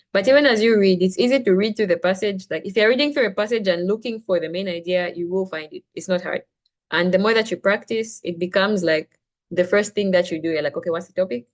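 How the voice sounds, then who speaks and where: A woman's voice: lowish voice, a little mellow. A young woman from Zambia teaching a casual reading class, chatty and full of "like" and "right?" as she explains. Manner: chatty, frequent "like" and "right?", restarts sentences. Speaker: SAT reading tutor.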